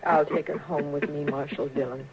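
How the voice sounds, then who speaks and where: A woman's voice: low-pitched, fairly even intonation, normal speed, muffled recording, a loud crowd, lightly acted. An American woman playing a devout, sorrowful frontier mother in a radio western, speaking with earnest, soothing compassion. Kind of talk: 1950s radio western acting. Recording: noisy old radio recording.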